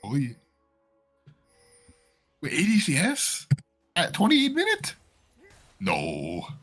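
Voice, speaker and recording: A man casting a live esports match, joking around and getting excited about the plays. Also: on the high side, drawn-out words, faint music behind. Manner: joking, excitable, laughs often. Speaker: esports caster. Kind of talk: live game commentary.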